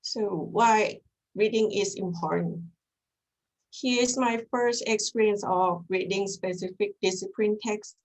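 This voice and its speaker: A woman's voice: medium pitch, fairly even intonation, poor audio. A young woman from Thailand, a native Thai speaker with a Thai accent, giving an earnest academic presentation as a graduate student over a video call. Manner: measured, earnest, slightly halting delivery.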